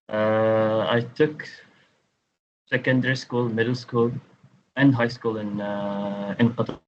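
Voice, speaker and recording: A man, an Arabic speaker, answering interview questions in a calm, measured way. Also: fairly low pitch, slight background noise. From Sudan. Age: 30s. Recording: dull lo-fi audio.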